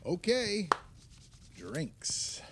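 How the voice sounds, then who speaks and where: An actor playing a jovial, enthusiastic husband hosting a holiday party in an audio play, hearty and exclamatory. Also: male voice, high-pitched, huge pitch swings, drawn-out words, noisy background, full character voice. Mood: delighted.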